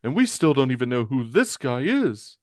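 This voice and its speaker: A man's voice: medium pitch, very animated, moderate pace, darkish tone, no room sound, studio quality, pitched above the performer's own voice, lightly acted. A man voicing a tough, wisecracking female superhero bruiser from a comic, blunt and sarcastic. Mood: puzzled.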